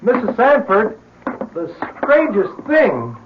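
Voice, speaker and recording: An actor playing a polite, bewildered builder who stammers, in an old-time radio drama. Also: male voice, high-pitched, wildly swooping pitch, heavily acted. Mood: shaken, bewildered. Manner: stammering, halting. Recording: noisy, muffled old radio recording.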